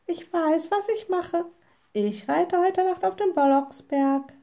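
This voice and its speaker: Little witch for a children's storybook, woman reader acting: very high voice, hot-tempered, indignant, headstrong. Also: takes it slowly, mellow, heavily acted. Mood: defiant. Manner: stubborn, indignant. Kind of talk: storybook character voice.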